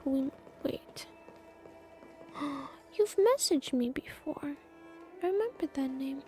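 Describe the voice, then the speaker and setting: A woman livestreamer chatting casually over a game, musing informally and hesitantly with pauses. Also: high-pitched, animated, darkish tone. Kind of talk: casual chat over gameplay. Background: game music.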